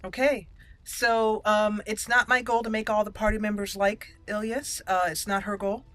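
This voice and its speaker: A woman's voice: mid-range voice, flat delivery, normal speed, a bit bright. A woman giving casual, playful let's play commentary on a game, thinking aloud as she goes. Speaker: gaming channel host and player. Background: game music.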